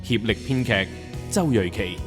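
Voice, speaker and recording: A man's voice: on the low side, a little slow. A man with a Hong Kong Cantonese accent reading scripted credits and trailers as a radio drama announcer. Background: guitar music.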